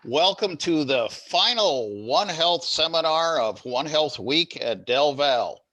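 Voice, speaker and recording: A man hosting a seminar and introducing the speaker, with a few pauses and fillers. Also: fairly high pitch, animated, sharp tone, dry recording, low-quality recording. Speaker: seminar host. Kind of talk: formal introduction.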